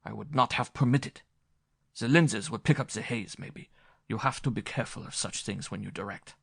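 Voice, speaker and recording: A man's voice: fairly low pitch, moderate pace, vocal fry, a bit bright, breathy edge, no room sound, studio quality, full character voice. A man voicing a pompous, sulky film director who speaks broken English. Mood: defensive. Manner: broken English, self-pitying then haughty.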